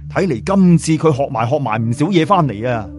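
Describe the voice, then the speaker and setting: Male Cantonese-accented storyteller, animated and colloquial, swooping intonation, music underneath. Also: mid-range voice, a little fast. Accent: Cantonese, southern Chinese. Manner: colloquial, expressive traditional storyteller delivery. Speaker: audiobook storyteller. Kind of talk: animated scripted storytelling narration. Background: background music.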